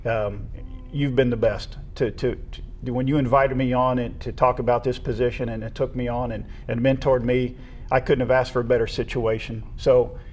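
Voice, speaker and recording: Casting: middle-aged American man, average pitch, sincere and appreciative, relaxed interview talk from a school athletics staffer. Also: moderate pace, a little hiss or hum. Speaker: retiring assistant athletic director, interview guest. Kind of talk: casual conversational interview.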